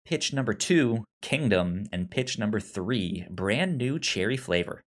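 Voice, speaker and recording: A man co-hosting a casual review podcast, talking in a halting way with some stammering. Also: on the low side, lively intonation. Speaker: podcast co-host.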